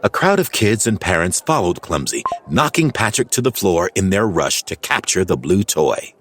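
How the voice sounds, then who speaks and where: A man narrating a children's storybook in an animated, expressive way. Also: deep voice, moderate pace, faint music behind. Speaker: audiobook narrator.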